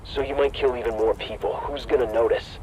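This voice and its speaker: An actor playing a sceptical, uneasy associate in a video game, plain-spoken and questioning. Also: male voice, mid-range voice, steady tone, brisk, natural voice. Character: sceptical, uneasy male associate challenging his leader. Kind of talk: scripted video game drama. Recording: dull, noisy surveillance-style audio.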